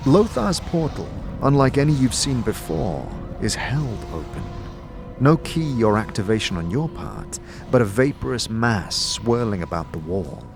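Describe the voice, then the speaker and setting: A man's voice: medium pitch, sing-song, a little slow. A man narrating a scripted fantasy story in the second person, with a dramatic storytelling manner. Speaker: narrator and game master. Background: music.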